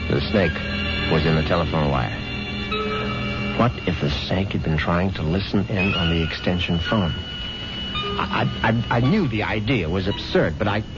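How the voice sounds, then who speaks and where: A man acting a wry, jittery, well-to-do philandering husband in a radio drama, with an urbane, theatrical delivery. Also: low voice. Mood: uneasy. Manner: urbane, theatrical, stammering when uneasy. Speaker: radio drama actor, narrator-protagonist. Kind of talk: 1970s radio drama acting, first-person narration and dialogue. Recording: dull lo-fi 1970s radio recording.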